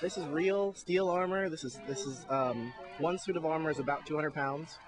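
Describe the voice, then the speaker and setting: A man giving an informal, earnest, halting explanation as a festival squire, recorded outdoors. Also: a little high. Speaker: jousting squire and backstage crew member at a Renaissance festival. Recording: noisy outdoor location recording.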